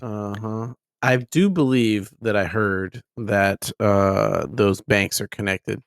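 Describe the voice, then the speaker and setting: A man's voice: lowish voice, slow, creaky, dry recording, studio quality. A man co-hosting a podcast, chatting casually in brief interjections. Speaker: podcast co-host.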